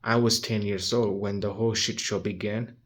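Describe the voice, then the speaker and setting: A man's voice: lowish voice, level tone, moderate pace. A nineteen-year-old man talking frankly to his handheld phone in a casual vlog, swearing often and restarting his sentences. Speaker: vlogger.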